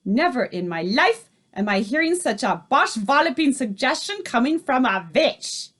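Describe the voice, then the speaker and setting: A woman reading aloud, voicing the tiny Grand High Witch, a furious and commanding female witch leader who shouts and speaks in odd broken grammar. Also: very animated, slow pace, exaggerated voice. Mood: enraged. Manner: shouting, shrieking, odd foreign-sounding grammar.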